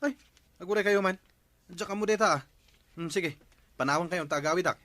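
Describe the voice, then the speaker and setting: A man's voice: high voice, normal speed, a bit bright, no echo. A man playing a grumbling, thrifty husband who scolds in exasperation in a comedy audio drama. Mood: puzzled, wary. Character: grumbling, thrifty husband dreading debt over birthday.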